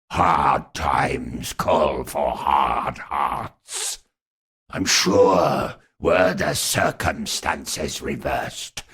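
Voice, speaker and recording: An actor voicing a courtly, scheming undead sea captain in a video game, with a gravelly, husky voice and grandiloquent, wry, drawn-out phrasing. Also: male voice, a bass voice, fairly bright tone, heavily acted. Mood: regretful, menacing. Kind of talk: theatrical video game character acting.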